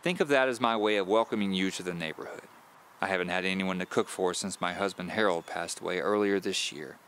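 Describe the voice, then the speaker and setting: A man's voice: low-pitched, a little fast, a bit bright, slight background noise. A man voicing a kindly, grandmotherly widow with a folksy, teasing, chatty manner in an audio drama.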